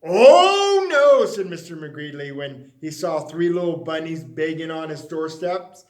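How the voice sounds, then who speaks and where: A man reading a children's picture book aloud, expressive and dropping to a hush on the refrains. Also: fairly high pitch, normal speed. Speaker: storyteller.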